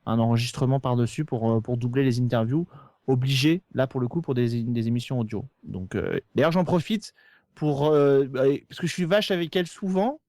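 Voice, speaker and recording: A man's voice: mid-range voice, normal speed, warm tone, no echo. A man chatting casually on a podcast, explaining at length and hesitantly repeating words as he goes. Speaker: podcast host of a TV series show. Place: remote internet call recording. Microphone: podcast microphone.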